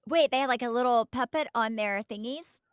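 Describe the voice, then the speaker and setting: A woman's voice: fairly high pitch, fairly quick, clean tone, no echo, studio quality. A woman co-hosting a podcast in casual, candid conversation. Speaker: podcast co-host.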